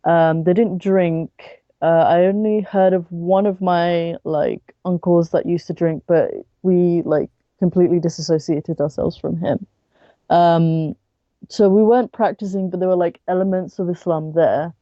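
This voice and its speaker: A woman from the UK with a British accent, talking casually and haltingly as a podcast guest, peppering her sentences with "like". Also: on the low side, fairly even intonation, warm tone, no echo, dull audio. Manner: halting, frequent "like". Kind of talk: casual interview storytelling.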